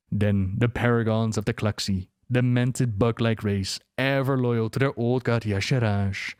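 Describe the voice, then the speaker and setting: A man's voice: mid-range voice, darkish tone, no room sound. A man narrating scripted lore as a dramatic storyteller, close to the mic. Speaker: video narrator.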